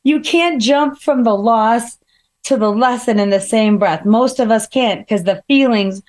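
A woman with a slightly harsh voice, talking through feelings and examples in a relaxed, reflective conversational way, with frequent fillers. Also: a little high, unhurried. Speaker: guest speaker. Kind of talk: casual conversational advice.

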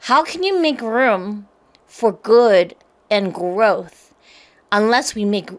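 A woman's voice: medium pitch, takes it slowly. American woman hosting a conversational show. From grew up in the United States. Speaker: show host. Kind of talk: conversational interview, candid and chatty.

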